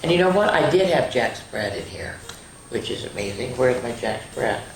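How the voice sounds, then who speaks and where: Older female children's story host, low-to-mid register for a woman, sing-song reading with chatty asides, roomy echo. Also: sing-song, normal speed, creaky, slight background noise. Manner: addresses young listeners directly. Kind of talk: reading nursery rhymes aloud to children. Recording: roomy, echoing room sound.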